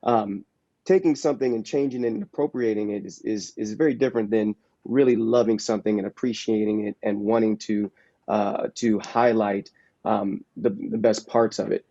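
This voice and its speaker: A man from the San Francisco Bay Area, a capoeira practitioner and actor, speaking in a casual panel discussion, halting and reflective as he searches for his words. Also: fairly low pitch, fairly even intonation, warm tone, muffled recording.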